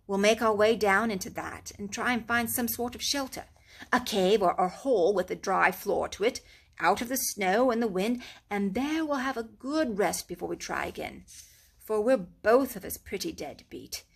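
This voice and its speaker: A woman voicing a kindly, excitable male rat who talks briskly and eagerly. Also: medium pitch, creaky, breathy edge. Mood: planning.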